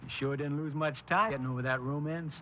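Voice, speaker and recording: A casual, curious coworker in a 1950s radio play. He talks fast with a bright edge. The old recording sounds slightly muffled. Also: fairly high pitch, fairly even intonation, slight background noise. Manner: casual, inquisitive. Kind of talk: 1950s radio crime drama acting.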